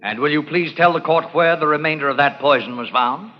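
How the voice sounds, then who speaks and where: Actor as accusing male prosecutor, high-pitched, formal and theatrical, vintage radio courtroom drama with dull sound. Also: fairly quick, natural voice. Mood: formal, probing. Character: stern, accusing courtroom prosecutor, grown man. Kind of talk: 1940s radio drama acting. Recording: muffled old radio recording.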